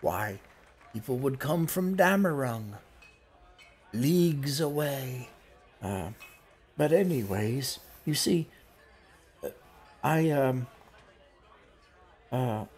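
A man's voice: fairly high pitch, darkish tone, breathy edge, pitched above the performer's own voice, exaggerated voice. A man voicing a scratchy-voiced old male quest giver who rambles hesitantly with many fillers.

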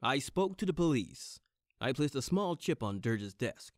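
A man's voice: medium pitch, sing-song, a little slow, no echo, studio quality. A man playing a polite, persistent private detective with a formal, probing manner.

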